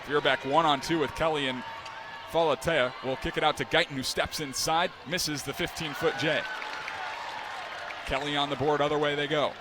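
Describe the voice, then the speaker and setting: Young man calling play-by-play over crowd noise. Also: a little high. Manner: rapid crisp call, rising to excited shouts on big plays. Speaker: play-by-play announcer. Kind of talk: live sports play-by-play. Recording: broadcast with loud arena crowd and noise.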